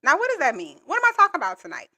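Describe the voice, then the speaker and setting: High-energy, bright-voiced woman coach. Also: huge pitch swings, racing. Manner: high-energy, playful, chatty, addresses viewers directly. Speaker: government contracting coach. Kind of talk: energetic livestream lesson.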